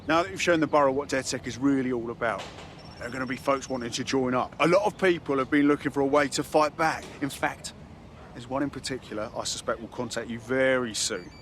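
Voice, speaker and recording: A man's voice: mid-range voice, quick pace, a bit bright, natural voice. Friendly local, a man voicing him, chatty slangy talk, faint city noise. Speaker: grateful local ally. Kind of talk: video game cutscene dialogue.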